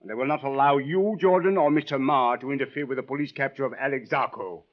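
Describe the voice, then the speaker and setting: Stern male police official played by an actor, measured formal wording, firm authority, vintage radio drama, muffled sound. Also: medium pitch, close to an everyday voice. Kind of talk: 1940s radio drama acting. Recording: muffled old radio broadcast.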